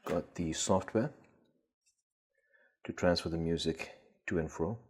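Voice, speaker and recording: A man with a husky voice giving casual, tentative unboxing commentary, thinking aloud as host. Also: deep voice, a little slow. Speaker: gadget collector and video host.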